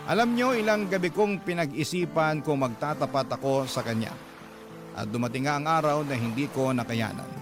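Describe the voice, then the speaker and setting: A Filipino man narrates a dramatic first-person radio story. His voice sits fairly high for a man, and music plays clearly behind him. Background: music bed.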